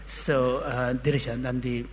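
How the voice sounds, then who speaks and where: A man's voice: mid-range voice, little pitch movement, a little slow, big-room sound, a little hiss or hum. A man interpreting in a discussion, switching between languages and putting a question to the panel. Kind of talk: consecutive interpreting.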